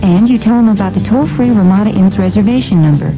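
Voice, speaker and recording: Actress as chatty housewife over music. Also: female voice, mid-range voice, fast, close to an everyday voice. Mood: friendly, confiding. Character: chatty, practical housewife pitching family holidays. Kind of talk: 1970s radio advert read. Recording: dull old radio broadcast.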